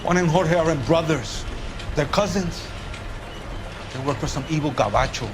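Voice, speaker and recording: A man's voice: on the high side, expressive, normal speed, background noise, lightly acted. A man acting an evasive, defiant produce worker under questioning in a video game. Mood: grudging.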